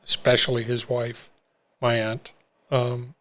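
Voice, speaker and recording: Older man, flat halting speech. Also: mid-range voice. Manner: halting, many fillers and restarts. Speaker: interview guest. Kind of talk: reflective personal story.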